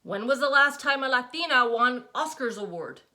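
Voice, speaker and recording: A woman's voice: on the high side, expressive, unhurried, sharp tone, voice pushed up. A woman voicing an exasperated, protective middle-aged mother who scolds sharply and with rising emphasis. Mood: stern.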